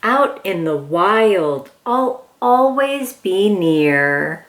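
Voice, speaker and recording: A woman reading a picture book aloud to young children as a host, with excited, encouraging exclamations. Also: mid-range voice, extremely slow, slight background noise.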